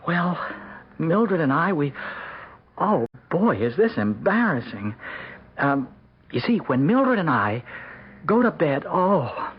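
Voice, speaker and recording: A man's voice: on the high side, big pitch swings, slow pace, long pauses, slightly rough, heavily acted. An actor playing an embarrassed, anxious husband in his forties in a radio drama, hesitant and stammering. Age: 40s. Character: embarrassed, anxious forty-year-old husband confiding in his doctor. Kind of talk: 1970s radio drama acting. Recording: dull lo-fi old radio broadcast.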